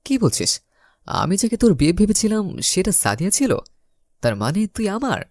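A man's voice: sits high, wildly swooping pitch, normal speed, darkish tone, no room sound. A young man narrating a romantic comedy story in the first person, theatrically acting out the lines. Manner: theatrical, acts out his lines.

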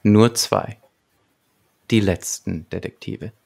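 Tiefer Podcaster mit knarrender Stimme. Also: male voice, fairly low pitch, slow pace, gravelly, darkish tone. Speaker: podcast co-host. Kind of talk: prepared podcast presentation. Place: small book-lined room at home, evening. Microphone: large desk microphone close up, headphones.

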